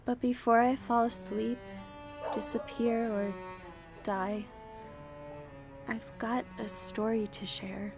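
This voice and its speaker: A woman's voice: fairly high pitch, flat delivery, slow pace, creaky, long pauses. A woman playing a dying, homeless young woman who wearily narrates her lonely life in an audio drama. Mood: wistful, weary. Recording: voice over music.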